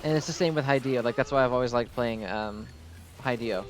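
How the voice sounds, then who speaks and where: A man's voice: medium pitch, a little fast, slight background noise. A man giving casual gameplay commentary in a rambling, easily distracted way. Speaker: gaming video host. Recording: game music behind the voice. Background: game music.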